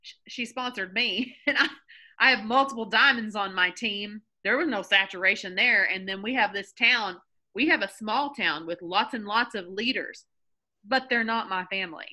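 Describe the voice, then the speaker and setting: A woman hosting a team video call, energetic and emphatic, laughing often and repeating points for effect. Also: medium pitch, normal speed. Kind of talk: motivational group call.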